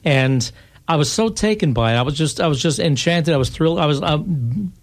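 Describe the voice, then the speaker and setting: American man, radio host, somewhat high voice, chatty, enthusiastic, halting restarts, live interview. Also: lively intonation.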